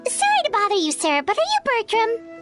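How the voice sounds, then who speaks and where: A woman voicing a small, childlike female game companion, chirpy, blunt and excitable in an expressive way. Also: very high-pitched, a little fast, over music, full character voice. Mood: polite, curious. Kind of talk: video game voice acting.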